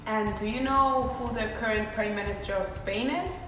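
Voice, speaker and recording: A woman's voice: a little high, moderate pace. A woman playing an upbeat reporter in a scripted mock news interview. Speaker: student playing a survey reporter. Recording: echoey hall from far off, music behind.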